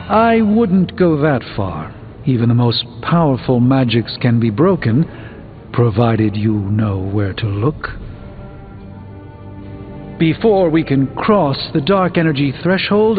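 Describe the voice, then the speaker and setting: An actor playing a learned, composed middle-aged sorcerer in a video game, speaking with precise, formal, measured diction. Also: male voice, medium pitch, expressive, narrow, tinny audio, natural voice. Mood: grave, instructive. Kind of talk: video game character dialogue. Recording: band-limited game audio, long reverb, background music.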